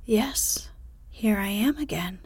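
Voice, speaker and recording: A woman voicing a cheeky, bold young hero in a playful, breathy whisper. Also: mid-range voice, expressive, slow pace, a little mellow, no room sound, heavily acted. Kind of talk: whispered storybook reading.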